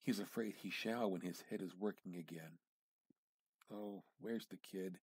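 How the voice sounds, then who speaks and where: A man giving an amateur dramatic reading of literary fiction, theatrical and halting, with pauses and emphatic repetition. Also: low-pitched, slightly rough, dry recording. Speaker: amateur reader.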